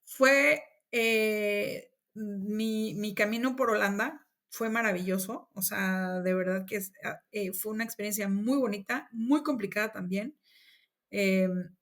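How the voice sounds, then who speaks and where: A woman's voice: mid-range voice, takes it slowly. Chatty Mexican woman telling her story. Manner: lively, acts out quoted dialogue in her telling. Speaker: interview guest. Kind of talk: casual conversational storytelling.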